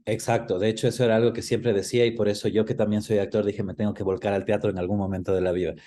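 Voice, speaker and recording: A man's voice: fairly low pitch, level tone, very fast, mellow. A man with an Ecuadorian accent reminiscing in a conversational interview, explaining things and often adding small tag questions. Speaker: interview guest, actor.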